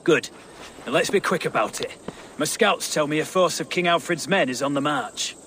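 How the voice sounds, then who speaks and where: An actor playing a cautious, plain-spoken war leader in a video game, firm and commanding. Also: male voice, fairly high pitch, normal speed, fairly bright tone, slight background noise, natural voice. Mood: urgent. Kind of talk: video game cutscene acting.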